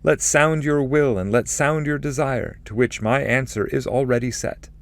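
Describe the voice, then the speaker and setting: A man voicing a solemn, loving ancestral spirit in heaven, declaiming slowly and with joy in an epic poem reading. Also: medium pitch, voice pushed up, close to an everyday voice. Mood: loving, joyful. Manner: slow, exalted declaiming. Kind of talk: dramatic reading of epic poetry.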